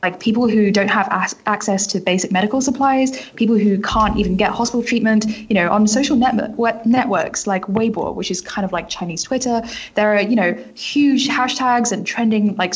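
A woman's voice: medium pitch, slightly rough, lo-fi sound. A woman reporter giving conversational interview answers in an informal way, often saying "like" and "kind of". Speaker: newspaper reporter being interviewed.

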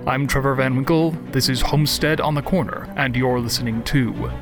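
A man's voice: medium pitch, normal speed. A man reading scripted podcast credits as the show's creator and narrator. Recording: prominent music under the voice. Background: music.

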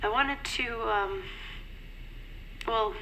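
A woman's voice: fairly high pitch, sharp tone, slight background noise, heavily acted, rough recording. A woman playing an anxious, grieving middle-aged mother in an audio drama, polite and hesitant. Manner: hesitant, careful, halting. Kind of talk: naturalistic audio drama acting.

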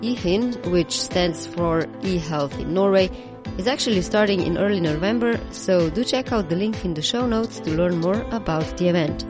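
A woman hosting a podcast, reading a measured scripted introduction and then interviewing a guest. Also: fairly low pitch, music in the background. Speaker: podcast host.

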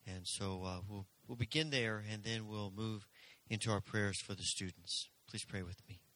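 A man's voice: fairly low pitch, sharp tone. A man leading a congregation in an earnest spoken prayer. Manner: slow, earnest. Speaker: pastor.

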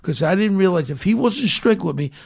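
A man's voice: high-pitched, lively intonation, fairly quick, gravelly, husky. A man, hosting a casual interview in a blunt, profane, streetwise way. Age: 60s. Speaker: podcast host, former police detective.

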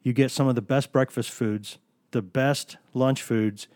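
A man's voice: medium pitch, steady tone, a little slow, darkish tone, no echo. A man hosting a casual sports podcast from a studio, talking while he has a cold, rambling and joking as he tells stories. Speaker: podcast host.

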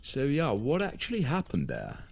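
Casting: young boyfriend, casual, inquisitive, tactless, lively pitch, naturalistic audio drama. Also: male voice, normal speed, creaky, slight background noise. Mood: curious, casual. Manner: casual, questioning.

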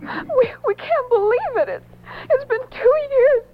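Very high-voiced, tearful grieving woman. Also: animated. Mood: tearful, shocked. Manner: halting, faltering. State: tearful, crying. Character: shocked, grieving bereaved woman. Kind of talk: old-time radio drama acting. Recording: muffled radio recording with faint hum.

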